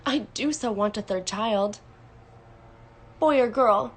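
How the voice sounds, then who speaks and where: A woman voicing a gentle, placid and affectionate mother in a storybook, speaking tenderly and softly. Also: high voice, expressive, moderate pace, a little hiss or hum, voice pushed up.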